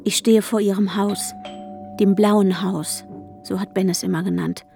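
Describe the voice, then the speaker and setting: An actress playing an anxious, controlling woman searching for her missing fiancé in a radio drama, intense and hushed, in short clipped sentences. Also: mid-range voice, level tone, measured pace, gravelly. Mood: tense, brooding. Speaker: protagonist and narrator. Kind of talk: radio drama inner monologue and dialogue. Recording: music under the voice. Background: music.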